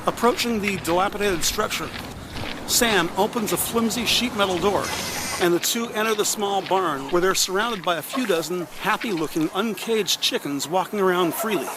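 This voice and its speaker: A man's voice: high-pitched, moderate pace, noisy background. A man reading scripted descriptive narration for an audio drama. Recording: music under the voice.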